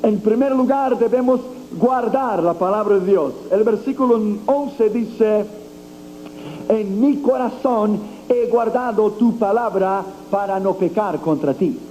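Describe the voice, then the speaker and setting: A high-pitched man preaching with a very dark, mellow tone, speaking with a light tinge. He declaims emphatically to his congregation, and a faint hiss from an old recording sits beneath him. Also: a little slow, rough recording. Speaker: evangelist preacher. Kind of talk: sermon.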